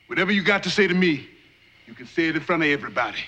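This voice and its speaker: An actor playing a proud, defiant, plainspoken railroad laborer of legend in a television drama. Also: male voice, fairly high pitch, animated, rapid-fire, bright, forceful tone, muffled recording. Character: proud, stubborn steel-driving railroad laborer, a folk hero. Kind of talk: television folk-tale drama acting. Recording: faint music behind, old videotape.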